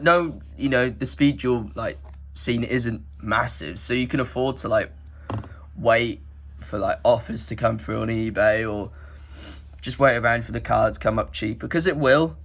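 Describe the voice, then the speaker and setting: A man's voice: mid-range voice, a bit bright. Rambling guy with a cold. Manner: rambling, many "like" fillers. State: nasal from a head cold. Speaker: card collector vlogger. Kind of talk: casual rambling show-and-tell.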